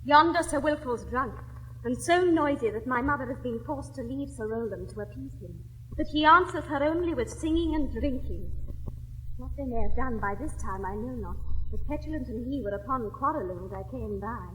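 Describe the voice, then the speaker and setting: An actress playing a brisk, practical young married lady in a classic stage comedy, speaking in an urging, lively way. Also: fairly high pitch. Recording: reverberant old recording, faint hiss.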